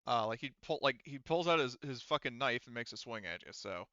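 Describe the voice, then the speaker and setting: A man's voice: mid-range voice, expressive, fairly quick, bright, forceful tone, smooth, no echo, lo-fi sound. A man acting as game master, narrating a tabletop roleplaying game in an informal, casual way. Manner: informal, occasional swearing.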